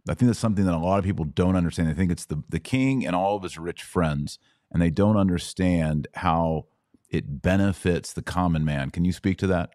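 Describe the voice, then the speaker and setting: A man with a low-pitched voice in a dry, close recording. He hosts a podcast, speaking conversationally as he interviews his guest. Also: brisk, a little mellow, no echo. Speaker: podcast host.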